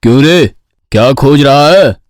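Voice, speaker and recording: A man's voice: medium pitch, expressive, unhurried, no echo, studio quality, lightly acted. A man voicing an exasperated, grumbling village father in a Hindi cartoon story, scolding and doubtful. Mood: angry, exasperated.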